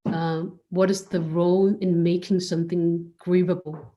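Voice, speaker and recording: A woman's voice: lowish voice, flat delivery, moderate pace, warm tone. A woman giving reflective interview answers as a curator, hesitant and searching for words. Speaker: curator and art space director, guest.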